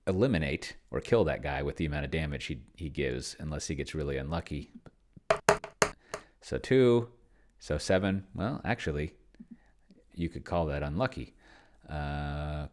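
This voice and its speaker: A man's voice: extremely low pitch, vocal fry, a little mellow. A man giving casual, rambling commentary on a solo tabletop game, thinking aloud and muttering to himself as he types. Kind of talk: casual screen-recording commentary, thinking aloud while typing. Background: keyboard typing.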